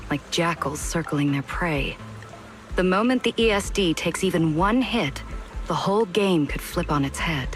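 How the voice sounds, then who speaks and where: Woman acting as low voiced lady boss in a game, calm, formal, dutiful, shrewd, bit of music behind. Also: natural voice. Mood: grave, resolute. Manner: measured, formal, resolute. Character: composed, dutiful female department head, shrewd political strategist. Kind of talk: video game cutscene voice acting.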